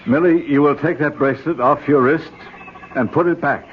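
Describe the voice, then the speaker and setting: An actor playing an older archaeologist uncle, patient but stern, with a measured, admonishing manner in an old-time radio drama. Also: male voice, fairly high pitch, natural voice. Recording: dull vintage radio recording, faint noise.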